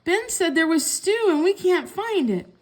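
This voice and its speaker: A woman voicing an eager, naive young pet cat in a storybook, excitable and pouty. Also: very high voice, moderate pace, pitched above the performer's own voice. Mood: earnest, puzzled.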